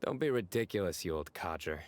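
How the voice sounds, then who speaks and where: A man voice acting a stoic young former student in a video game, composed and deadpan with a quietly teasing wit. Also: sits low, fairly quick. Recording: game audio with background music.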